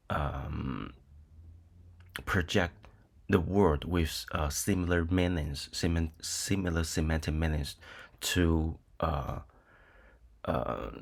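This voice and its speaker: Brief: middle-aged Taiwanese male professor, low voice, unhurried, filler-heavy lecturing. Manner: hesitant, many ums and uhs, frequent "okay?" checks. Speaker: university lecturer. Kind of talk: recorded lecture with screen demo.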